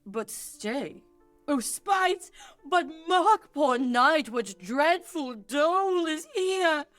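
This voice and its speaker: A young woman playing a melodramatic young male lover in a radio play of Shakespeare, declaiming in an overwrought, drawn-out way. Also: sits high, animated, a bit bright, faint music behind, exaggerated voice. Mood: anguished. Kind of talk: 1940s radio play acting of Shakespeare verse.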